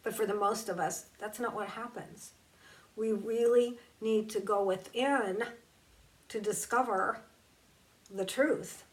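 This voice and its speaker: A woman gives a reflective spiritual talk and leans on key words at an unhurried pace. Her voice sits a little away from the microphone. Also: medium pitch, recorded from a distance, poor audio. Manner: slow, emphatic and conversational, drawing out key words. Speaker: spiritual teacher.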